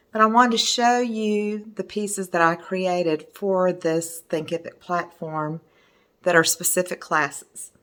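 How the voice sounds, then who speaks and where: A middle-aged woman with a hoarse voice, chatting casually as a resin art teacher while showing her work at home. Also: on the low side, fairly bright tone. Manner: chatty, enthusiastic, meandering. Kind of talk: casual video talk showing artwork.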